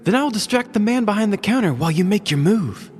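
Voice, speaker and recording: A woman as a husky, animated, scheming older gal pal. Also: mid-range voice, fast talker, in a large hall. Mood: conspiratorial, encouraging. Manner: sultry when flirting, conspiratorial, expressive.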